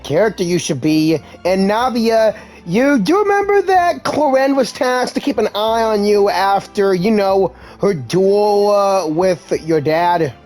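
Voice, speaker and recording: Mid-pitched woman gamer commenting casually. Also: lively intonation, a little slow, hardly any pauses, darkish tone, soft background music. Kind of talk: casual game playthrough commentary.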